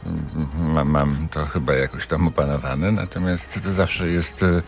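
A man giving casual, halting answers as a guest in a radio studio interview. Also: rumbling low, fairly even intonation. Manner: halting, hesitant delivery. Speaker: theatre director, interview guest.

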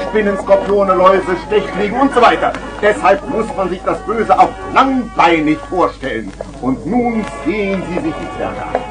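High-voiced, boisterous street hawker. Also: male voice, rough recording. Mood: jovial hawking. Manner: theatrical, wheedling patter. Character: boisterous male street hawker selling garden gnomes. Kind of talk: 1970s German children's radio play acting. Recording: vintage vinyl radio play recording.

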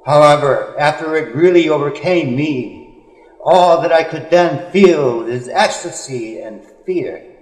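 A man reading poetry in a solemn, dramatic style, with earnest, measured phrasing and drawn-out pauses. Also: fairly high pitch. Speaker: poet. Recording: echoey, long hall-like reverb.

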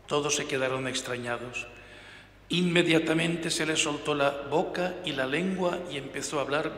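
An older man in his sixties preaches from a lectern in a large church, his bright, ringing voice carrying a long reverb. He preaches with emphatic stress, dropping softer and swelling louder on key words. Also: on the high side. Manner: emphatic, gestures with hands, varies loudness for emphasis. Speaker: priest. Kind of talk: reading the Gospel and preaching a homily. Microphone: gooseneck lectern mic. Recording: reverberant church hall, faint room noise.

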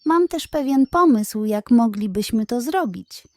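Woman as an eager young badger inventor, high-voiced. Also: lively intonation, unhurried, no echo. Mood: agreeable. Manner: enthusiastic, sheepish. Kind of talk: children's bedtime story character.

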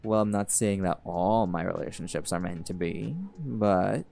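A man's voice: low-pitched, measured pace, vocal fry, noisy background. A man voicing a chill flirty jaguar shifter. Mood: laid-back. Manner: easygoing, teasing, relaxed drawl with laughs. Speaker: voice actor in audio roleplay. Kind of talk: audio roleplay, speaking to listener.

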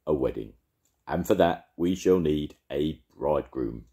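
A man's voice: very deep voice, animated, measured pace, mellow, full character voice. A man voicing a bossy, self-confident male caterpillar in a storybook, declaring things pompously.

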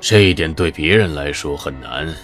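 Deep, grave shadowy mentor. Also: male voice, moderate pace, a bit bright, faint music behind. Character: cryptic shadowy male mentor who foresees futures. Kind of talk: audio drama acting.

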